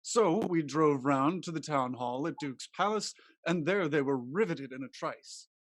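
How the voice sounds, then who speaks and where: Man playing a higher-voiced deferential servant. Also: a little slow, no room sound, poor audio. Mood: eager, reporting. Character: obedient male servant reporting to his master. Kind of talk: Restoration comedy play reading.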